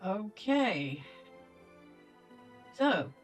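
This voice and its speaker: A woman's voice: medium pitch, very animated, drawn-out words, faint music behind. A woman giving casual game commentary, chatty and playful as she thinks aloud. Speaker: gaming video host.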